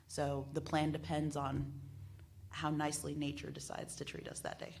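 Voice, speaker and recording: A woman speaking off the cuff as a radio panelist, halting at times and repeating phrases for emphasis. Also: low voice, completely flat delivery, gravelly, slight background noise. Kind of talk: spontaneous panel discussion talk. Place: live panel discussion at a venue.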